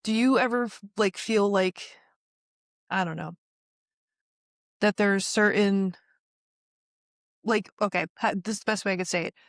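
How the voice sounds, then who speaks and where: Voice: mid-range voice, a bit bright, dry recording. Casual interviewer, fumbling for phrasing, dry close recording. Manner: halting, searching for words, many restarts.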